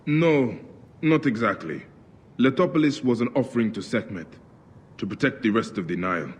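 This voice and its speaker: An actor playing a stoic, solemn ancient Egyptian warrior in a video game, speaking in a measured, formal way. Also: male voice, mid-range voice, lively intonation, slightly rough, long reverb tail. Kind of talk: video game drama acting.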